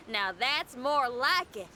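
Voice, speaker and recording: A woman voicing a spirited, upbeat young rock musician in a video game, with a folksy, energetic manner. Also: sits high, a little hiss or hum, full character voice. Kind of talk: video game cutscene dialogue.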